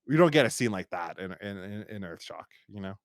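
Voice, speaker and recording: A man hosting a podcast in a casual review chat, rambling and halting with repeated false starts. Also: lowish voice, animated, no echo, studio quality. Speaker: podcast host.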